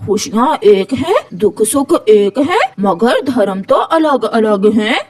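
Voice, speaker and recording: A woman's voice: on the high side, lively intonation, slow, a little mellow, voice pushed down. A woman voicing a sly, scheming old owl leader in a cartoon. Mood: sly, commanding. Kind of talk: cartoon character acting.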